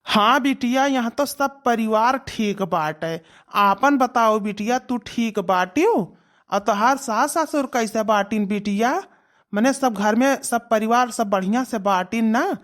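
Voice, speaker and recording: A woman with an Awadhi accent voicing a kindly, resigned old village father in a cartoon, speaking gently and tenderly. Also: a little high, unhurried, natural voice. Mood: affectionate, concerned. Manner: gentle, fatalistic, addressing listener tenderly as child. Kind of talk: comic village cartoon dialogue.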